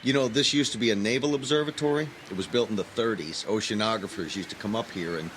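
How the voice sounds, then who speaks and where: An actor playing an earnest, cautious young male graduate student in an audio drama, speaking in a slightly halting way. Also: fairly low pitch, fairly bright tone, a little hiss or hum, lightly acted, low-quality recording. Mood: friendly, hesitant. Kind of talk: naturalistic audio drama acting. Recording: clear background ambience.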